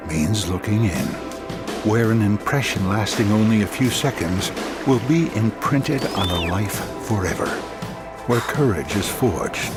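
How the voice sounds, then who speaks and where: A man reading solemn, dramatic scripted promotional narration. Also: lowish voice. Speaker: advert narrator. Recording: loud music bed.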